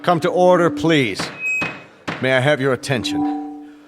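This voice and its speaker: Formal, measured statesman played by a man. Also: fairly high pitch, over music, close to an everyday voice. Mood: commanding, formal. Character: formal UN Secretary General making grave announcement, growing flustered. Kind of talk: radio drama acting, formal public address.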